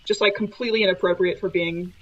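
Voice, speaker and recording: A woman's voice: medium pitch, fairly even intonation, fast. A woman talking casually as a player in a roleplaying game, describing her character, on slightly lo-fi audio. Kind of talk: casual table talk, describing own character. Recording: slightly dull, lo-fi online audio.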